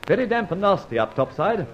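An actor playing a breezy, scheming gentleman adventurer in an old radio serial, with clipped old-fashioned diction. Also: high-pitched, very animated, normal speed. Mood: jovial, polite. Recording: muffled, noisy vintage radio recording.

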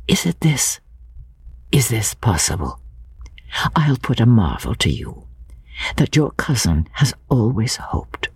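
Lady doing a young guy in love, earnest n stubborn, hushed breathy storybook voice, takes his time. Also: a bass voice, dry recording, clean studio sound, full character voice. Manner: hushed, fervent, halting phrases. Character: George, earnest, obstinate young man in love. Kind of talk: dramatic audiobook character reading.

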